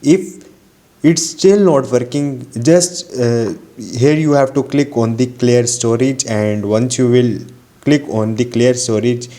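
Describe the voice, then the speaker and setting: A man's voice: medium pitch, takes it slowly, mellow. A man narrating a step-by-step tutorial voice-over in simple, non-native English phrasing. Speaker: tutorial host.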